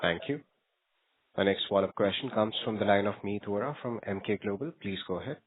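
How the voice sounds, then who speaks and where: A man's voice: on the low side, brisk, dry recording. A man working as a conference call operator, reading scripted announcements. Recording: dry, narrow phone line.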